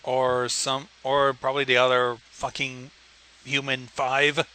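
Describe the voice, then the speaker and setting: A man's voice: mid-range voice, completely flat delivery, takes it slowly, bright, forceful tone, clean tone. A man co-hosting with casual sarcastic commentary, blunt and profane. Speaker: co-host heckler.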